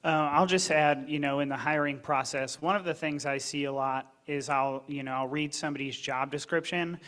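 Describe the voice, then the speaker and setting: Panelist, male, higher-pitched voice, earnest and explanatory. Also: steady tone, normal speed, a bit bright. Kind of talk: panel discussion.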